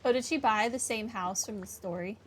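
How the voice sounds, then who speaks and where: A woman's voice: medium pitch, a little fast, slight background noise. A woman giving casual commentary as she plays through a video game.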